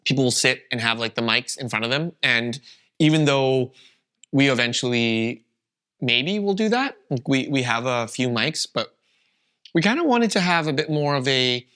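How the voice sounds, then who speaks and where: A man from Canada, whose first language was Vietnamese, co-hosting a podcast in a relaxed, rambling chat. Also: mid-range voice, moderate pace. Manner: relaxed, rambling, with many fillers. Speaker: podcast co-host. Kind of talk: casual chat.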